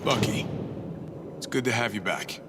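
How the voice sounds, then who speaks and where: An actor playing a friendly, steadfast superhero leader and loyal old comrade in a video game, easygoing and straightforward. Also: male voice, lowish voice, normal speed, music in the background, close to an everyday voice. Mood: reassuring, earnest. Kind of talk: cinematic video game acting.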